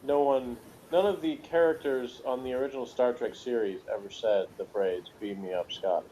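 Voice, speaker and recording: A man's voice: medium pitch, steady tone, moderate pace, warm tone, slight background noise, low-quality recording. A man chatting casually as a reviewer, rambling and jokey, with pop-culture asides. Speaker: podcast reviewer.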